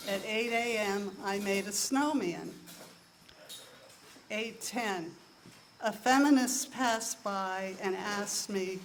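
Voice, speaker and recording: Woman, soft voice, deadpan stand-up monologue, unhurried timestamped beats, mid-range pitch. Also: slight background noise, poor audio. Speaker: monologue performer. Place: live show in a room.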